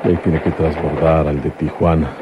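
Deep-voiced protective fellow, noisy recording. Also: male voice, flat delivery, brisk, lo-fi sound. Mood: calm, kindly. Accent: Mexican. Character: protective man disguised as an old father. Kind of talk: Mexican radio serial acting. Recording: noisy old radio drama recording.

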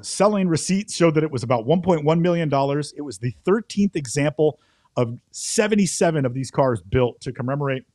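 A man's voice: mid-range voice, darkish tone, dry recording. A middle-aged man co-hosting a podcast, talking conversationally through a car auction recap into a close studio microphone. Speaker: podcast co-host. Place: indoor home studio. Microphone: close boom studio microphone.

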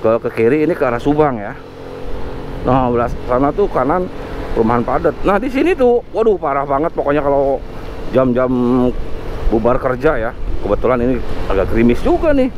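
A man's voice: mid-range voice, sing-song, brisk. A man hosting a motovlog, casually narrating the streets while riding a scooter, thinking aloud with fillers. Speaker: motovlog host. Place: outdoors on a motor scooter in town traffic. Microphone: helmet or body camera mic. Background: faint traffic and engine noise.

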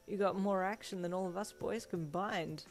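A woman's voice: on the low side, fairly even intonation, moderate pace, faint music behind, natural voice. A woman voicing a friendly, cheeky teenage boy in a story, with a casual, teasing, smirking delivery.

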